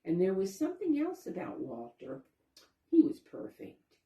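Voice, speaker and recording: A woman reading a children's picture book aloud, pausing to explain things and ask questions for young listeners. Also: medium pitch, wildly swooping pitch, dark tone. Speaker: storybook reader, teacher-like narrator. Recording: roomy, echoey sound.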